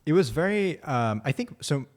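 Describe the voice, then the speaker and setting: A man's voice: fairly high pitch, sing-song, normal speed. Young man, hesitant, easygoing podcast guest. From Saudi Arabia. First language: Arabic and English. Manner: hesitant, lots of ums, easygoing. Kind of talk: casual chat.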